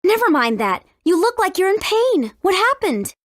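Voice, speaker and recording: A woman playing a spirited, confident teenage girl in a video game, brisk and questioning. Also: extremely high pitch, big pitch swings, a bit bright, dry recording, heavily acted. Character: spirited teenage heroine, impatient and sure of herself.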